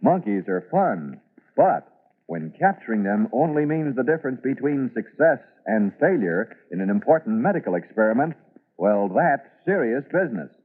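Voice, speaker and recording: A man's voice: medium pitch, very animated, normal speed. American male vintage radio announcer, tinny sound. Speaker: announcer and narrator. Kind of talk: dramatic scripted narration, old-time radio serial. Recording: phone-like vintage radio recording.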